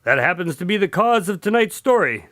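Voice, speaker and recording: An actor playing a sensible, wry doctor and loyal friend in a radio drama, conversational and teasing. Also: male voice, high-pitched, very animated, a little fast, a bit bright, no room sound, clean studio sound. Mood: friendly, chatty.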